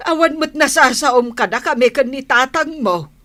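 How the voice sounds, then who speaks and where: A woman's voice: high-pitched, lively intonation, normal speed, pushed and bright. A woman playing a concerned village mother in a radio drama, questioning her grown daughter with an Ilocano accent. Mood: probing, worried. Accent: Ilocano, from the Philippines.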